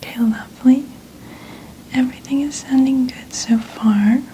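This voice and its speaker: A woman doing a soft-spoken ASMR medical exam roleplay, gently whispering in a soothing, reassuring manner. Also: high voice, steady tone, normal speed, a little hiss or hum, low-quality recording. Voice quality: soft, breathy, largely whispered. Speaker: ASMR performer role-playing a medical examiner.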